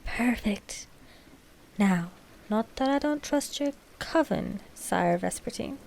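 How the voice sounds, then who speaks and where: A woman's voice: on the high side, expressive, slow pace, vocal fry, a little mellow, slight background noise, full character voice. A woman playing a proud, possessive vampire queen-to-be in an audio roleplay, with haughty, formal, precise speech. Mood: imperious, protective. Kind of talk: scripted audio roleplay drama.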